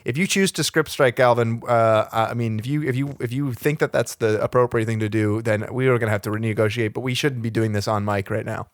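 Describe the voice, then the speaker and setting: A man playing a brazen, evasive Hollywood talent agent in improvised comedy, with slick, blustering, halting double-talk. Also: medium pitch, fairly even intonation, no echo, clean studio sound, lightly acted. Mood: defensive, pushy. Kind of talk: improvised comedy podcast role-play.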